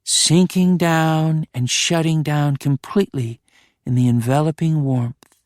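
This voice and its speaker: A man doing a slow, soothing female hypnotist. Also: a little high, fairly even intonation, no room sound, clean studio sound, pitched above the performer's own voice, close to an everyday voice. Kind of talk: hypnotic induction.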